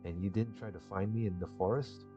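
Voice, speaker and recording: A man's voice: low-pitched, steady tone, fairly quick, natural voice. A man playing the weary young male protagonist of a visual novel. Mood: puzzled. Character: young male protagonist, weary, mildly annoyed but forgiving. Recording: dull lo-fi mic with music behind.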